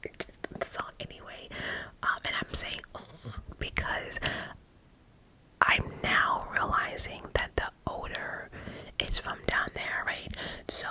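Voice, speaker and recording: A woman in her forties telling a frank, self-mocking story in a steady whisper, full of asides. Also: slow pace, pauses often, poor audio. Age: 40s. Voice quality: unvoiced whisper throughout. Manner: candid, frank, laughs at herself, many asides.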